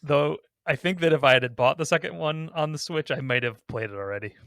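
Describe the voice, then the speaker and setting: A man's voice: fairly high pitch, fairly quick, fairly bright tone, dry recording, clean studio sound. A man hosting a gaming podcast, talking casually in a rambling, conversational way. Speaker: podcast host.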